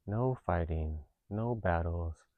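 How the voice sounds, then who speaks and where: A man leading a guided meditation, giving gentle instructions in a calm, unhurried, reassuring manner. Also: sits low, creaky, no echo, narrow, tinny audio. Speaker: meditation teacher.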